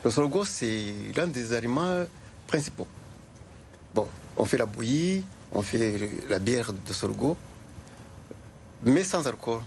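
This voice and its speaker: A man's voice: medium pitch, expressive, takes it slowly, long pauses, darkish tone, slight background noise. An older man from Rwanda answering interview questions in short, plain, hesitant sentences. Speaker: guest, sorghum liqueur maker.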